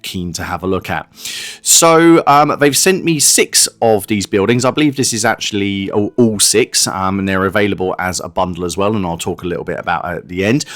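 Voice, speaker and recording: A man's voice: sits low, a bit bright, no echo. A man presenting a hobby kit review in a casual, chatty, informal way with a self-deprecating streak. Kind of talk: casual hands-on product review narration.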